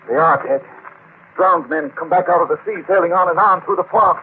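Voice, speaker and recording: An actor playing a fearful, haunted sailor who gives ominous, insistent warnings in an old radio drama. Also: male voice, high voice, fairly quick, natural voice. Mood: dread. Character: haunted, fearful male sailor, grim prophet of doom. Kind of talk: 1940s radio acting. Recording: scratchy, tinny old-time radio recording.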